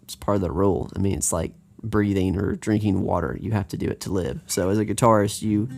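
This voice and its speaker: A man's voice: sits low, a little fast, creaky, darkish tone. An American man teaching a live guitar lesson, talking in an upbeat, chatty way that wanders and restarts.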